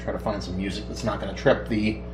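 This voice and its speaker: A middle-aged man casually demonstrating electronics at a workbench, thinking aloud in an unhurried way as he works. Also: fairly low pitch, fairly even intonation. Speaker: electronics repair hobbyist, host. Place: small indoor home workshop at a bench. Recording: roomy, distant mic with background noise.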